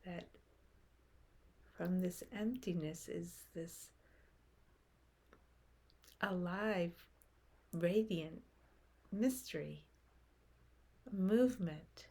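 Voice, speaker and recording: A woman leading a guided meditation in a gentle, unhurried manner, speaking in short phrases with long pauses. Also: lowish voice, slightly rough.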